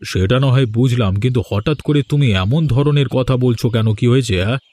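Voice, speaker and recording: A man's voice: mid-range voice, fast, warm tone, lightly acted. A man voicing a stern, gullible fish-farm boss in a cartoon, with a commanding manner. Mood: curious. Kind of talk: cartoon dialogue.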